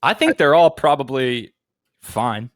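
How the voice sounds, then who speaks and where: A man's voice: medium pitch, expressive, unhurried, no room sound. A man co-hosting a casual podcast, chatting off the cuff in loose, slangy language. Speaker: podcast co-host.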